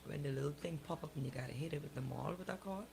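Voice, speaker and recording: A woman's voice: low voice, very fast, creaky, noisy background. A woman radio host bantering and commenting on the news in a blunt, exclamatory, colloquial way.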